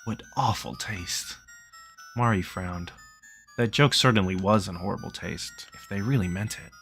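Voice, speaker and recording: A man's voice: low voice, moderate pace, a bit bright, faint music behind. A man live streaming a visual novel, reading it aloud and chatting casually.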